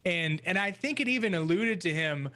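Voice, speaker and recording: Casual male panelist, high-pitched for a man, loose halting delivery. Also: lively intonation, pushed and bright. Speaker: podcast panelist. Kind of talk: casual roundtable chat.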